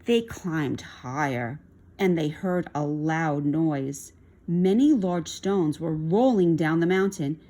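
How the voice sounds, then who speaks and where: Female storybook reader for kids: slowish, animated, expressive read-aloud delivery. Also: deep voice.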